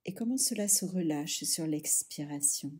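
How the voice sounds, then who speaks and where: A woman leading a guided yoga nidra relaxation, soothing and hushed, speaking in short instructional phrases with long pauses. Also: deep voice, animated, slightly rough.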